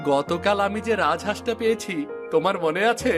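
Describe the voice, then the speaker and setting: A man's voice: high-pitched, normal speed, natural voice. A man voicing an eager, earnest young village fisherman in a cartoon, insisting on what he says. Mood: excited, insistent. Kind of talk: cartoon dialogue acting. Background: music.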